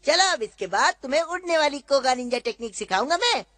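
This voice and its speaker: A woman's voice: sits high, animated, quick pace, fairly bright tone, dry recording. A woman voicing a sly, bossy trickster ninja in a Hindi cartoon dub, cocky, wheedling and mocking. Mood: falsely encouraging.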